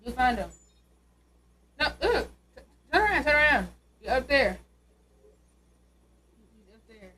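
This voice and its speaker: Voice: high-pitched, big pitch swings, measured pace, long pauses, bright, forceful tone, slightly rough. A young adult, chatting casually and calling out directions during a video game. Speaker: companion watching the gameplay. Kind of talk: casual chat, backseat directions. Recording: noisy room, ambient sound behind.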